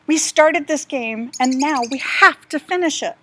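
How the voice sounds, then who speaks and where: A woman's voice: high-pitched, unhurried, fairly bright tone, a little hiss or hum. A woman voicing a sensible, determined young girl in a storybook read-aloud, speaking firmly as she explains.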